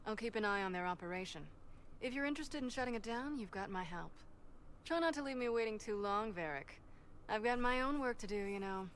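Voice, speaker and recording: Teasing, confident dwarf woman for a fantasy game, female actor; brisk delivery, medium pitch. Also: expressive, fairly bright tone, slight background noise, lightly acted. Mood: businesslike. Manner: brisk, teasing, self-assured. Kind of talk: video game dialogue acting.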